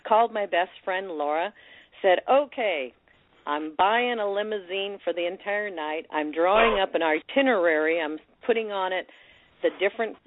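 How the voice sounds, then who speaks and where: A woman's voice: medium pitch, normal speed, slight background noise. A woman in her fifties chatting casually on a call-in show, laughing often as she tells stories. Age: 50s. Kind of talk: casual phone-in chat. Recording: tinny phone line.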